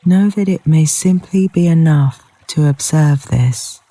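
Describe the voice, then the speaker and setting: A man leading a guided yoga nidra meditation in a gentle, soothing, unhurried manner with long pauses between phrases. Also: a little high, no room sound. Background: flowing river water sounds.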